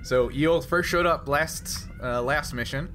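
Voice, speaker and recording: A man's voice: a little high, measured pace, fairly bright tone. A man running a tabletop game as game master, casually explaining rules in a hesitant, step-by-step way. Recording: noisy background ambience.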